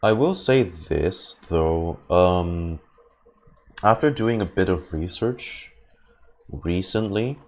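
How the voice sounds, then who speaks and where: A man's voice: low voice, lively intonation, takes it slowly. A man giving casual live commentary as a game streamer, rambling and repeating his words and phrases. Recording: game music behind the voice.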